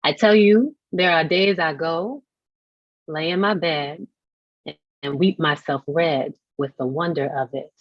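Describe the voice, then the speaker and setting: Female poetry reader, low register, slow dramatic phrasing, lo-fi sound. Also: no room sound. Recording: dull lo-fi dry audio.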